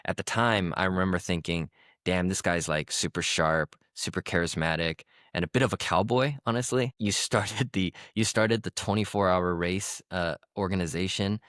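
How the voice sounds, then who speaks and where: A man's voice: sits low, moderate pace, a bit bright, no room sound, clean studio sound. A man hosting a podcast, reading a polished intro and then chatting casually with his guest. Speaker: podcast host.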